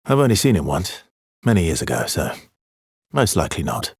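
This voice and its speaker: A man playing a weary, guarded video game expeditioner, with a husky, breathy voice and an understated, measured, brooding delivery. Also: low voice, very animated, no room sound, studio quality, full character voice. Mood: guarded, matter-of-fact. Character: Verso, weary guarded male expeditioner with quiet regret. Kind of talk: video game voice lines, dramatic acting.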